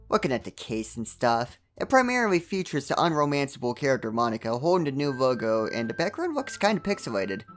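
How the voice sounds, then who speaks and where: A man's voice: fairly high pitch, a bit bright. A man narrating a scripted comedic video game review, with sarcastic joking asides and exaggerated emphasis. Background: background music.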